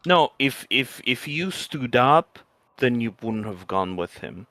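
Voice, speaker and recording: A man's voice: medium pitch, sing-song, takes it slowly, bright, forceful tone, no room sound. A man making casual, joking commentary as co-player during a game playthrough.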